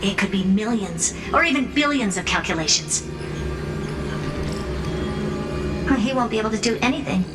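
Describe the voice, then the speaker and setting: An actress voicing a poised, blunt genius strategist in a video game, speaking in a measured, authoritative, analytical way. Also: female voice, mid-range voice, animated, close to an everyday voice. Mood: confident, calculating. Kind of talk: scripted video game story dialogue. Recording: echoey, distant, under loud music.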